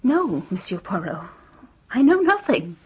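A married woman under suspicion in a radio drama. Her mid-range voice is slightly husky, and she is composed, guarded and formal, with earnest defiance. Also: mid-range voice, sing-song, measured pace, heavily acted, poor audio. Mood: bitter, defiant.

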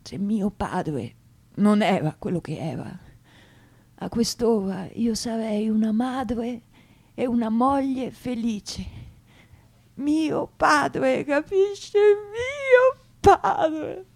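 An actress playing a lonely, self-pitying middle-aged Italian woman, confiding haltingly in a theatrical radio drama monologue. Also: a little high, animated, darkish tone, exaggerated voice. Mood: aggrieved, longing.

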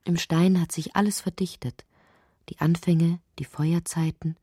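A woman narrating a literary audio drama in a breathy voice that often drops toward a whisper, reciting deliberately and poetically. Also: fairly low pitch, flat delivery, warm tone, no room sound, studio quality.

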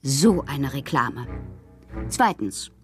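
A woman playing a moody, fanciful bohemian poet in a radio drama, reading her confessional letters aloud with expressive, theatrical, poetic phrasing. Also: a bass voice, slow, soft background music, heavily acted. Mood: wry, mischievous.

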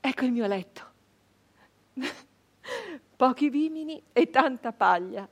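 An actress playing a nostalgic nineteenth-century peasant brigand woman in a halting, hushed radio monologue, with a breathy, husky voice. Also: sits high, animated, exaggerated voice. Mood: longing, dreamlike. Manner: halting, hushed, repeating phrases. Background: plucked guitar music.

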